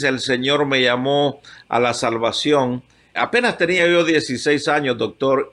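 An older man from Honduras, a pastor, telling his calling in a heartfelt, halting way, moved by the memories. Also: mid-range voice, a bit bright. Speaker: pastor guest. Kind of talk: emotional personal testimony.

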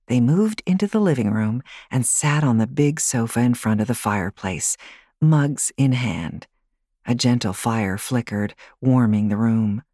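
Woman reading an audiobook, low voice, expressive and animated, real close dry studio sound. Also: dry recording. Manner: expressive, measured. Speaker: audiobook narrator. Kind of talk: scripted fiction narration, storytelling.

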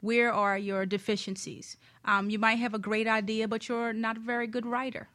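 Higher-voiced woman giving frank expert advice. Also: little pitch movement, normal speed, fairly bright tone. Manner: frank, emphatic. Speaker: guest expert on nonprofits. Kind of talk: conversational advice in an interview.

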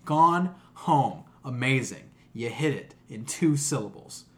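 A man's voice: mid-range voice, expressive, slow, fairly bright tone. A man hosting a podcast in casual, opinionated chat, blunt, profane and emphatic in how he talks. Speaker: podcast host.

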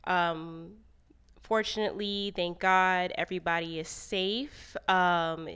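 Florida woman cohosting a podcast, smooth clear voice, lots of likes. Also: lowish voice, steady tone, slow pace, fairly bright tone. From Florida, USA. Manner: chatty, with likes and ums. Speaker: podcast co-host. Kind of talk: casual chat.

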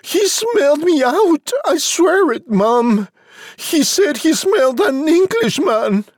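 An eager, naive young boy in a comic storybook , acted out by a man. The voice sits high and boyish, with wide swings of pitch. He exclaims earnestly and with some cheek. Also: very animated, slow pace, no echo, full character voice. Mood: frightened.

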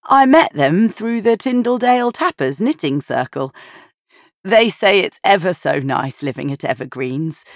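A woman's voice: on the low side, lively intonation, a little slow, dry recording, studio quality, voice pushed up. A woman voicing a cheerful, chatty, genteel grandmother in her eighties in an audiobook. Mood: chatty, pleased. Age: 80s.

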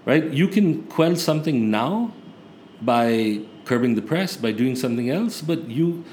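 A man's voice: mid-range voice, expressive, unhurried, a little mellow. A man with a mid-range voice speaks earnestly, thinking aloud and restarting his sentences as he works out his point. Faint background noise runs under the recording. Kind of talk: casual political discussion.

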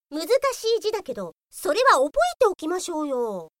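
High, piercing policewoman with exasperated retorts. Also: big pitch swings, a little slow, a bit bright, no room sound, clean studio sound, heavily acted. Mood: indignant scolding. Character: fiery young policewoman, indignant, sharp-tongued straight woman. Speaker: voice actor. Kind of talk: comic anime-style audio drama.

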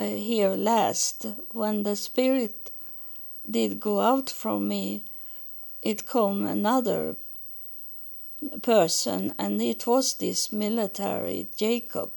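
A woman from Sweden with a Swedish accent, speaking English as a second language in a halting spiritual podcast monologue, searching for words. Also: medium pitch, gravelly, darkish tone. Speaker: podcast host relaying spiritual messages.

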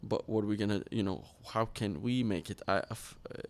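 A man's voice: lowish voice, brisk. Earnest man telling his story. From Iraq. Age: 30s. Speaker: interview guest. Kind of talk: personal storytelling in an interview.

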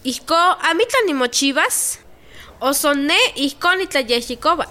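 A woman's voice: high voice, animated, a little slow, sharp tone, heavily acted. An actress playing an anxious village mother asking questions in a scripted radio drama. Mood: worried, questioning.

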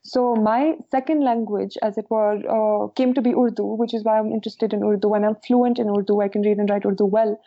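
A woman's voice: medium pitch, fairly even intonation, brisk, hardly any pauses, warm tone. A woman from Kashmir, answering interview questions in an articulate, reflective way with frequent fillers. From Kashmir, India. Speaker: newsletter co-founder, guest. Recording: dull lo-fi sound.

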